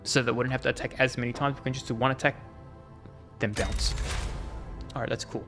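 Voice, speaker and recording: A man's voice: medium pitch, fairly even intonation, fairly quick, fairly bright tone, soft background music. A man streaming a video game, rambling with jokey asides as he plays. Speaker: gaming streamer. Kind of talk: casual gameplay commentary.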